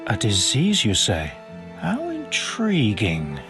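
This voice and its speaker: An actor playing a scholarly, absent-minded monastery physician in a video game, pedantic, detached and eagerly curious. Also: male voice, lowish voice, huge pitch swings, takes it slowly, full character voice. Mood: intrigued. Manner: pedantic, erudite, faintly pompous. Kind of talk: video game dialogue acting. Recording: music behind the voice.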